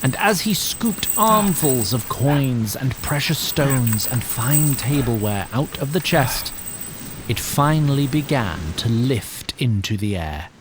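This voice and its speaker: Male fantasy narrator, mid-range voice, very animated intonation, literary and wry, rain-like ambience behind. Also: mid-range voice, takes it slowly, background noise. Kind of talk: scripted storybook narration for audio drama.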